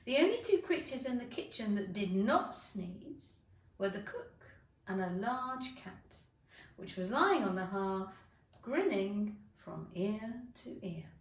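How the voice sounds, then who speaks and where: A woman giving an expressive storybook narration. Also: mid-range voice, slow pace. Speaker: teacher reading to schoolchildren. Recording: roomy echo, distant mic.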